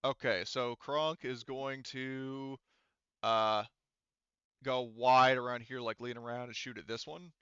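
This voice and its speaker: A man's voice: medium pitch, fairly even intonation, slow, bright, forceful tone, smooth, no room sound, dull audio. A man running a tabletop roleplaying game as game master, explaining rules casually and thinking aloud.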